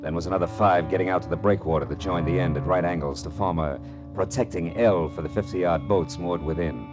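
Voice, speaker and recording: Man playing a low-voiced, hardboiled American private eye. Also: brisk, natural voice. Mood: grim narration. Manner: terse, wry, clipped. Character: tough, sardonic male private detective. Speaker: lead actor and narrator. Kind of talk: 1940s hardboiled radio detective acting. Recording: vintage radio drama with music.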